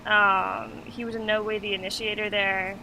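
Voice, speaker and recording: A woman's voice: mid-range voice, level tone, normal speed, vocal fry. Young woman on noisy voice chat. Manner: plain-spoken, informal. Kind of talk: casual explanation in a voice chat.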